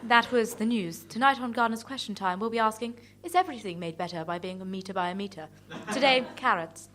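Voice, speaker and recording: A woman's voice: mid-range voice, brisk, a bit bright, lightly acted. A woman playing a genteel radio continuity announcer in a comic mock broadcast, recorded live. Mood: mock-serious. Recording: live, audience noise.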